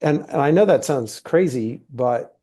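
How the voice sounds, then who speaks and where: A man's voice: mid-range voice, lively intonation, measured pace, dark tone. A middle-aged man who grew up in Thailand, talking casually in an interview with lots of fillers. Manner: easygoing, rambling with many fillers. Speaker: guest, TV series producer.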